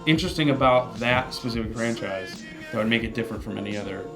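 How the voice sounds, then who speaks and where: A man's voice: fairly low pitch, steady tone, fast. A man hosting and judging a casual gaming discussion show in a conversational style. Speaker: host and judge of a debate show. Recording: music playing behind.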